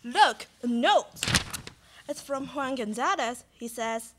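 A woman's voice: sits high, animated, takes it slowly. A woman playing a proud, self-satisfied sheriff telling of heroics in a live student radio play. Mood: earnest. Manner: boastful, storytelling.

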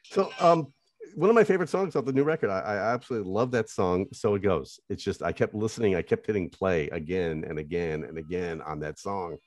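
A man in his fifties, a radio host, asking eager questions in a video-call interview. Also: low-pitched. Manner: quick, eager fan questions. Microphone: studio mic on video call.

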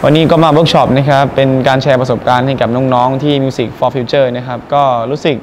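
Earnest young man, quick polite speech. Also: medium pitch, little pitch movement, background noise. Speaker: guest singer and workshop mentor. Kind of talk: presenter-style address to camera. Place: outdoors by a school building, then indoors at a music school.

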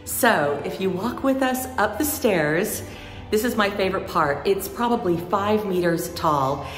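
A woman's voice: medium pitch, expressive, measured pace, reverberant, big-room sound, soft background music. A woman hosting a travel vlog, chatting playfully and gushing as she narrates. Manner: playful, laughs often, gushing descriptive narration. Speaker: travel vlogger. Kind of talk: casual travel vlog chat and voice-over.

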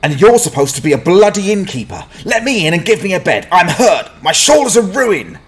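An actor playing a hapless, wry adventurer who mutters and stammers in a dramatized fantasy audiobook. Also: male voice, sits high, sing-song, brisk, husky, exaggerated voice. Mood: angry, demanding. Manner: muttering to himself, stammering, sardonic.

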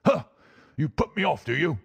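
A man's voice: a little high, big pitch swings, moderate pace, vocal fry, breathy edge, no echo, pitched above the performer's own voice, heavily acted. A man voicing a huge, menacing old doctor, a furious bully who snarls threats and insults in a storybook reading. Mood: furious, scornful. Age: older.

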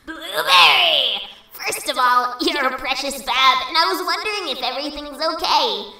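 A woman's voice: sits high, moderate pace, gravelly, sharp tone, full character voice. A woman voicing a gushing, affectionate young fan who reads out questions in a comic dub. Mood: affectionate, excited. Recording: very echoey, distant from mic.

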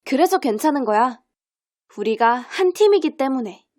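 Caring, determined teenage girl trainee, woman acting for audio drama: fairly high voice, earnest, measured delivery. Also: expressive, darkish tone. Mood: gentle. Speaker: amateur voice actor.

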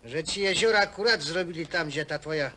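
Ostry, szyderczy głos robotnika. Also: male voice, fairly high pitch, fairly quick, sharp tone. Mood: scornful. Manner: jeering, colloquial. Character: mocking, bullying worker in a men's hostel. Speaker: film actor. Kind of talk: naturalistic film drama dialogue. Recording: noisy old film soundtrack.

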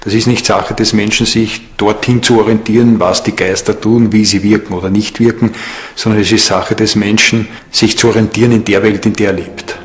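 A man giving an expert interview answer in a measured, careful, didactic manner. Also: on the low side, level tone.

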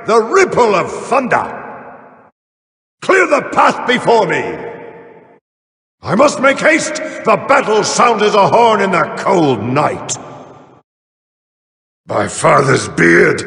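An actor playing a mighty, boastful Norse thunder god warrior in a video game, booming and theatrical, with old-fashioned heroic phrasing. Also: male voice, gravelly, pushed and bright, reverberant, in a large hall, full character voice. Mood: battle fervor, then gruff, steady. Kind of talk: video game character voice lines.

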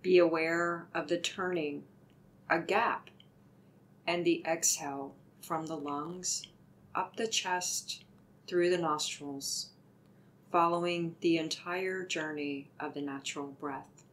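A woman with a low voice guides a meditation at a very unhurried pace. Her delivery is soothing and deliberate, giving each instruction gently. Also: steady tone, poor audio.

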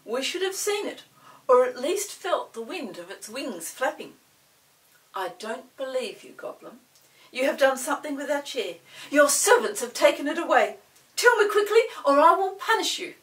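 A woman's voice: high-pitched, big pitch swings, moderate pace, a bit bright, distant mic, voice pushed up, poor audio. A woman voicing a hot-tempered, bossy young male pixie in a children's storybook, with emphatic, scolding delivery. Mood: angry.

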